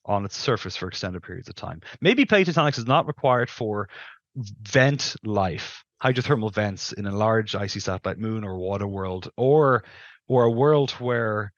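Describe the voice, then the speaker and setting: A man's voice: on the low side, lively intonation, normal speed, dry recording, lo-fi sound. A middle-aged man giving careful, qualified explanations as an interview guest, speaking into a headset on a video call. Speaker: guest planetary scientist.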